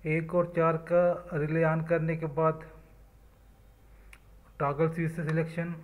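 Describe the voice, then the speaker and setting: Flat-toned man explaining electronics. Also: fairly high pitch. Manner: speaks in short measured phrases, listing parts. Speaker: electronics instructor. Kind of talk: step-by-step technical explanation.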